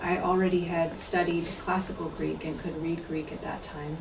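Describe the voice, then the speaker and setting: A woman in her fifties gives a reflective talk about her translation, her voice low and gravelly with a measured pace and frequent pauses. She is picked up from some distance in an echoey lecture room, with a faint hiss of noise underneath. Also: level tone. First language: English. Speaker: author and translator giving a talk. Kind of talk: book talk with poetry reading.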